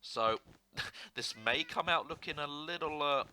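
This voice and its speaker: A young man with a sore throat and a slightly hoarse voice, chatting casually as he narrates a building game, rambling with fillers and restarts. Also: a little high, measured pace, bright, forceful tone. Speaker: gaming video host. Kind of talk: casual gameplay commentary.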